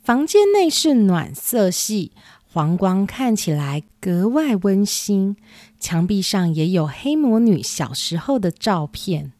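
A woman's voice: mid-range voice, very animated, slow pace, a little mellow, no room sound. A woman narrating a scripted children's story as the storyteller.

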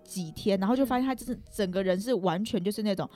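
A woman's voice: medium pitch, fast, mellow, soft background music. A young woman with a Taiwanese Mandarin accent tells personal stories casually, rambling and self-deprecating, hedging as she goes. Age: young adult. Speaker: podcast guest.

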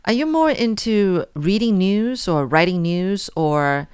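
A woman hosting a radio interview in a relaxed, chatty way. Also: mid-range voice, slow, clean tone, dry recording, clean studio sound. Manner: relaxed, chatty, laughs often. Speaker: radio show host. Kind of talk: casual interview.

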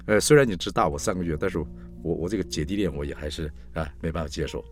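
An awkward, polite man, as a storyteller plays himself, stammers through a conversation with an old classmate. The voice is lowish and hesitant, with music underneath. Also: quick pace. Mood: embarrassed. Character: awkward, polite, flustered male former classmate.

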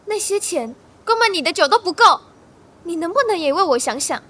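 A woman's voice: very high-pitched, lively intonation, fairly quick, pushed and bright, full character voice. A woman playing a guarded, scheming teenage schoolgirl with a bitter edge in a radio drama. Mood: bitter anger. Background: faint music.

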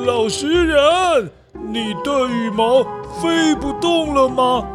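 A man's voice: very high-pitched, voice pushed up, full character voice. Man voicing a smug, mocking young prince. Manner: sneering, taunting.

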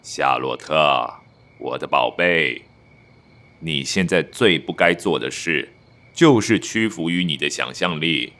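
A man's voice: rumbling low, expressive, slow, sharp tone, heavily acted. A man acting a controlling, patronising young husband who sounds outwardly tender, in an audio drama. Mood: tender, condescending.

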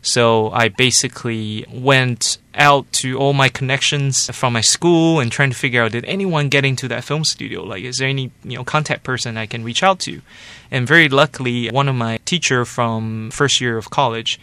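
A man from Taiwan in his forties, an animation studio director, giving steady, explanatory answers in an interview. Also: mid-range voice, normal speed, clean tone, dry recording. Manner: explanatory, steady, loose with "like" and "you know" fillers.

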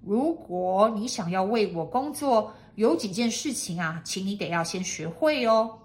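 A woman's voice: mid-range voice, expressive, a little slow, close to an everyday voice. A woman voicing a pompous, bossy, eccentric lord in a storybook, speaking in an emphatic, commanding way. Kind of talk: storybook character acting.

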